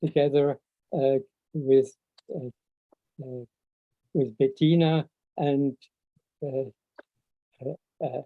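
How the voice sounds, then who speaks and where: Halting older Swiss German man, slow speech. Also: medium pitch, steady tone. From Switzerland. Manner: halting, searching for words, frequent long hesitations. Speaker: speaker at a memorial seminar. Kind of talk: informal spoken tribute. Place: video call, seated indoors. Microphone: video call microphone. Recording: muffled, echo-free video call audio.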